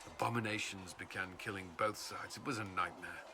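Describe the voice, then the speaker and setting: A man acting a stern, weary military commander in a video game, speaking in a formal, measured way. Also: low voice, fairly even intonation, background noise. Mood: grave, reflective. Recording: music behind the voice.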